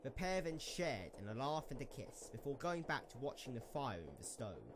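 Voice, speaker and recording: A lightly noisy home recording of a man narrating a story. His pitch is middling and moves up and down in an animated way as he reads the scripted prose. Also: normal speed. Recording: slightly noisy amateur microphone recording.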